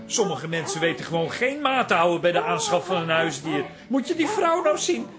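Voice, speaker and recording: An actor playing a fussy, disdainful adult son in a comic radio drama, fretful and exclamatory. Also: male voice, high voice, big pitch swings, fairly quick, over loud music.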